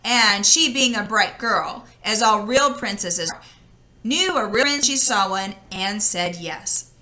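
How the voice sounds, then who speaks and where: A woman reading a children's story aloud expressively, with drawn-out, dramatic emphasis. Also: medium pitch, animated, bright, forceful tone, poor audio. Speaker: storybook reader. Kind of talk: expressive children's read-aloud, with casual asides.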